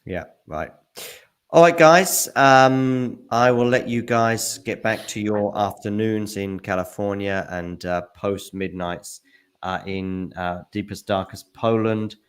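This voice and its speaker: A man's voice: lowish voice, slow, clear, resonant tone. A man hosting a casual podcast chat, self-deprecating and chatty. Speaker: podcast host.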